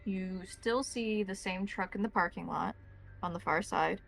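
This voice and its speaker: A woman's voice: medium pitch, measured pace, gravelly, slight background noise. A woman narrating a tabletop roleplaying game as the game master, describing scenes to players.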